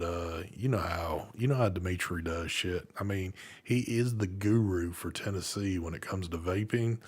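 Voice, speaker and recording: Deep-voiced laid-back host. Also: male voice. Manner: laid-back, swears casually, chuckles often. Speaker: live vape show host. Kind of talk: casual live-stream chat.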